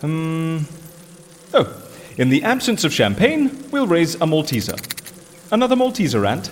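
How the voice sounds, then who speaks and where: A man playing a jovial, excitable character in an audio drama, bumbling and chirpy. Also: on the high side, a little slow, big-room sound, slight background noise. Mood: cheerful.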